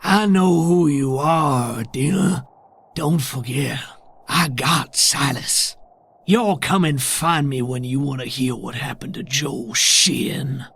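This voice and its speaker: A man voicing a swaggering, taunting would-be god in a tabletop game, with a husky, menacing Southern US drawl. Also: medium pitch, slow pace, fairly bright tone, heavily acted.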